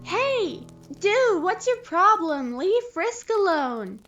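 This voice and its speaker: Very high, bright monster kid. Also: female voice, slow pace, dull audio, soft background music, exaggerated voice. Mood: indignant. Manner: cheeky, excitable. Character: plucky, loyal young monster kid. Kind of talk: audio drama acting.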